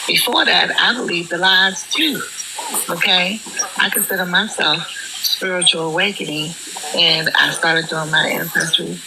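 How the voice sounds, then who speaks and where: A hoarse woman calling in, speaking in a halting way with many ums. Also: on the low side, steady tone, background noise. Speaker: caller. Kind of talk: call-in talk.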